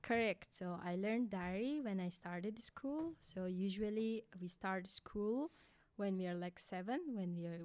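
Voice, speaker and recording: A young woman from Afghanistan with an Afghan accent, a radio guest talking in a hesitant way with lots of fillers, into a boom mic in a studio. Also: a little mellow, no room sound. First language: Hazaragi. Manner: hesitant, many fillers like "like" and "kinda". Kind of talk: casual interview answers. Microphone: boom mic with headphones.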